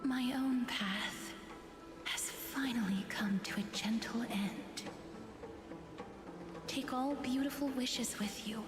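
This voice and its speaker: A gentle, serene young woman from a video game story, with a fairly high voice and slow, tender delivery. She is wistful and solemn, in a long echoing reverb with music behind. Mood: wistful farewell. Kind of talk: dramatic video game voice acting.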